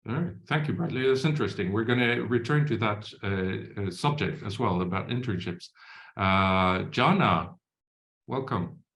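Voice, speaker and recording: A man with a fairly low voice moderates an online Q&A on a video call. He hesitates often and fills pauses. The room around him is echoey. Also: a bit bright. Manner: hesitant, many fillers. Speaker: webinar moderator. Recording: roomy echo.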